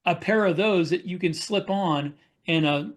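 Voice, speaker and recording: A man with a noticeably high-pitched voice chats as a podcast panelist. His delivery is halting and rambling, as in a loose group discussion. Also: a little hiss or hum.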